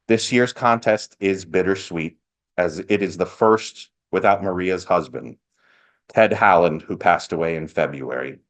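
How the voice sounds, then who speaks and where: A man's voice: low-pitched, a little slow. A man speaking as host of a live event, giving a part-scripted welcome speech with lightly joking asides. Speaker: event host, master of ceremonies.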